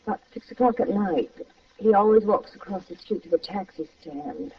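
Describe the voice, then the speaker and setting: Scheming radio femme fatale, muffled. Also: female voice, mid-range voice, expressive, normal speed, slightly rough. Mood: coy, scheming. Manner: coy, theatrical. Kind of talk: old-time radio crime acting. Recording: muffled old radio recording, distant mic.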